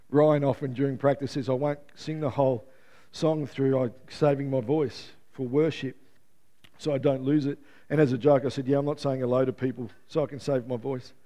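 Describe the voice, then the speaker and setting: A man's voice: mid-range voice, fairly even intonation, moderate pace, mellow. A man preaching a sermon in an earnest, conversational way, his voice worn and strained as he notes he is losing it, with frequent restarts and light chuckles.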